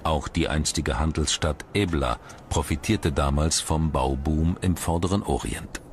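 A man reading scripted documentary narration in a measured, articulate storytelling manner. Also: extremely low pitch, a little hiss or hum. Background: music.

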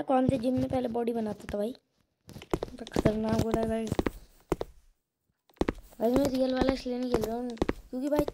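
A woman giving casual, chatty gameplay commentary, addressing viewers informally like friends. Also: fairly high pitch. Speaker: gaming video creator. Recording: very noisy phone recording with clicks.

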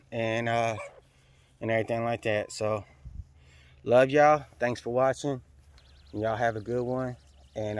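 A man's voice: medium pitch, fairly bright tone. A man, chatting casually and rambling with many fillers while filming himself on a phone outdoors in a farmyard. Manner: rambling, many fillers, folksy Southern talk. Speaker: vlogger. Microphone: phone held at arm's length.

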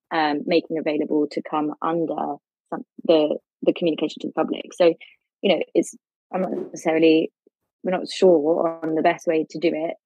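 A woman guest on a podcast explaining legal points quickly, with many fillers and restarts. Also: lowish voice, dark tone, slightly rough, dry recording, highs cut off. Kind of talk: podcast discussion.

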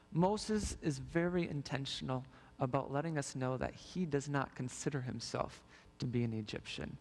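Young man, preacher, storytelling sermon, fairly high voice, long church reverb. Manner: storytelling, walks the stage while preaching. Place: large church sanctuary stage.

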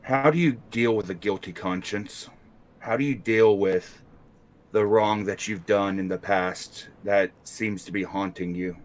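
Lower-voiced man role-playing earnestly. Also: unhurried. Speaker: player in a tabletop role-playing game. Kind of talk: in-character improvised dialogue.

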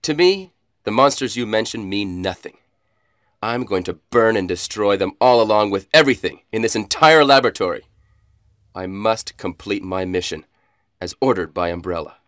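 Low-voiced arrogant game villain. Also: male voice, lively intonation, normal speed, a bit bright, no room sound, clean studio sound. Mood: arrogant, menacing. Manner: menacing, theatrical, condescending. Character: arrogant, cold villainous commander. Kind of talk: stilted video game cutscene acting.

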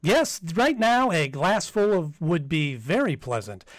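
A man's voice: on the high side, big pitch swings, unhurried, no room sound, clean studio sound. A man announcing a sponsored program in 1940s radio style. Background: music.

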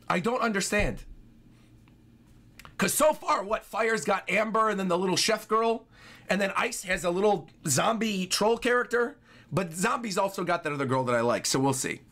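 A man in his twenties giving casual, excitable live commentary as a streamer reacting to game trailers. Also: high voice. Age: 20s. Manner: excitable, exclaiming. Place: small furnished room indoors. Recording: game trailer music playing behind.

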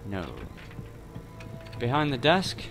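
A man's voice: mid-range voice, sing-song, slow. A man giving casual, jokey gameplay commentary, chatting to the characters as he goes. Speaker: video game streamer. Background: game sounds and music.

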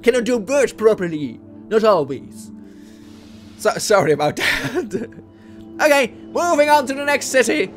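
Dutch-accented male gaming host, higher voice, talks through each move out loud. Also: lively intonation, a little slow, soft background music. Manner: chatty, thinks aloud, reads game text aloud. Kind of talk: let's play commentary. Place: home room at a desk. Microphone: headset.

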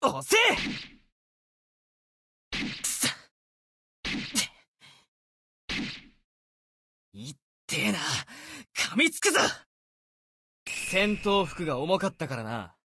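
A man voicing a brash, boastful young sword warrior for an anime-style game, youthful and husky-edged, with cocky, casual boyish speech. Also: high voice, dry recording, studio quality, heavily acted. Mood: fierce, fired-up, then hurt, strained. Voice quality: youthful, bright, husky edge.

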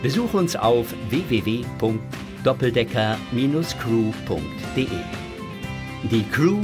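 A man's voice: medium pitch, big pitch swings, takes it slowly, music in the background. A man narrating a children's audio drama as its storyteller.

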